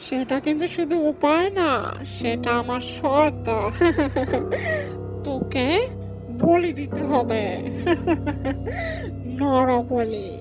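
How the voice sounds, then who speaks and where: A woman's voice: high-pitched, measured pace. A woman voicing a sly devil who tempts and bargains in a comic cartoon, taunting and cackling. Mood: sly, commanding. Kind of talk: comic cartoon villain acting. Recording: loud music under the voice.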